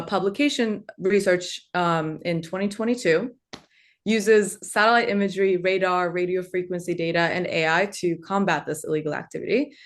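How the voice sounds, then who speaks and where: A woman in her twenties, born in Turkey with Turkish as her first language, speaking earnestly on a webinar panel with frequent ums and uhs. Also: on the low side. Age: 20s. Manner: earnest, fills pauses with um and uh.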